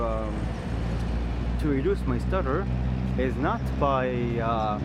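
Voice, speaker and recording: A man vlogging a reflective personal monologue, thoughtful and halting, restarting his sentences often. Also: mid-range voice. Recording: loud traffic noise behind.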